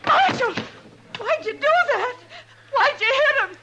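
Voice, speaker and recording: An actress playing a resolute, long-suffering frontier wife in a 1950s radio western, earnest and pointed. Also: female voice, extremely high pitch, lively intonation, takes it slowly, pushed and bright, exaggerated voice. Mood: distraught. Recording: noisy, humming old radio recording.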